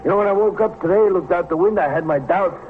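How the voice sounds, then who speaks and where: A man's voice: sits high, fast, natural voice. An American man playing a nosy, folksy building superintendent in a vintage radio crime drama, garrulous, hesitant and colloquial. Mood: chatty, nervous. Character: chatty, nosy, folksy married building superintendent, eager to help. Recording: noisy, narrow-band old radio recording.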